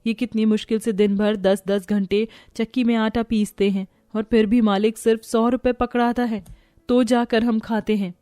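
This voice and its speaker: A woman's voice: fairly high pitch, level tone, moderate pace, mellow, dry recording, clean studio sound, lightly acted. A woman voicing a meek, plaintive young daughter-in-law from a poor household.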